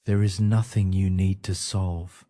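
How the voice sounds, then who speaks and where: A man guides a sleep meditation in a very low, dark and relaxed voice. He speaks very slowly and soothingly, in short, gentle phrases with space left between them. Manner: slow, gentle, soothing delivery with pauses.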